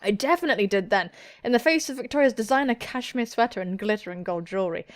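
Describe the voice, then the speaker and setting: A woman hosting a let's play, joking bawdily and excitably as she reads visual novel text aloud. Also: mid-range voice, fast. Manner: bawdy, excitable, self-mocking joking. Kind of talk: playful gaming commentary while reading visual novel text aloud. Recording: music playing behind the voice.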